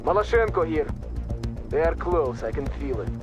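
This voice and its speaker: An actor playing an aggressive Soviet captain with a Russian accent, speaking in clipped military phrases for a video game. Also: male voice, fairly high pitch, animated, normal speed, muffled recording, music in the background, lightly acted. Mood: restless, contemptuous. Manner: clipped military radio speech. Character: hot-headed, aggressive Soviet army captain.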